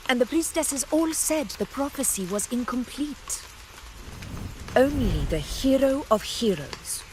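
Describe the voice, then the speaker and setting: A woman playing a proud, unflappable warrior ruler in a video game, with measured, grand phrasing and a teasing streak. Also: a little high, a little hiss or hum.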